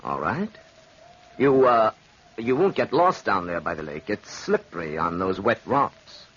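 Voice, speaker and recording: A man acting a smooth, calculating, ambitious gambler in an old-time radio drama, with a suave, theatrical delivery. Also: fairly low pitch, big pitch swings, measured pace, a bit bright, full character voice. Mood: feigned sympathy. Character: smooth, scheming gambler and ambitious male schemer. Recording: dull, noisy old radio recording.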